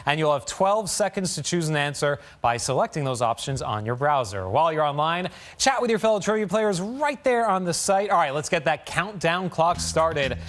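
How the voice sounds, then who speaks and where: A man's voice: on the high side, big pitch swings, normal speed, hardly any pauses, a bit bright. A man hosting a trivia game show in an upbeat, scripted, promotional announcer style. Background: music bed.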